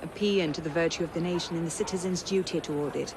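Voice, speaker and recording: A woman's voice: lowish voice, steady tone, quick pace, lightly acted. A woman playing a shrewd, determined young noblewoman in a dramatic game cutscene. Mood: grave, measured. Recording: loud city crowd and noise.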